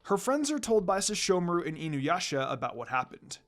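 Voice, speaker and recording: Woman narrating a story with lively pitch. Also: brisk. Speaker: fan fiction reader. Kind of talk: dramatic amateur story narration.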